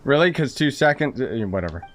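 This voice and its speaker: A man's voice: mid-range voice, sing-song, fast talker, slight background noise. A man giving casual playthrough commentary as a gaming streamer, with wry, sarcastic asides.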